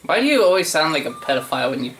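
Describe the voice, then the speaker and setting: A man's voice: on the high side, sing-song, very fast, a bit bright, a little hiss or hum. A man hosting a gaming video, giving casual reaction commentary in an opinionated, colloquial way.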